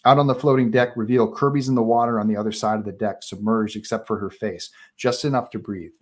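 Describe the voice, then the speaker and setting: Low-pitched man narrating a script. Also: steady tone, fast talker, darkish tone. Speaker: narrator reading stage directions. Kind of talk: scripted narration at a live table read.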